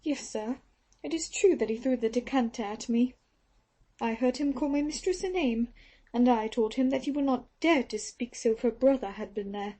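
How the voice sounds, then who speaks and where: A woman's voice: a little high, normal speed, dark tone, pitched above the performer's own voice, close to an everyday voice. A woman reading an audiobook, voicing a stern, taciturn Australian nurse who is fiercely protective of her mistress. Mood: bitter, protective. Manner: indignant, protective. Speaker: audiobook reader.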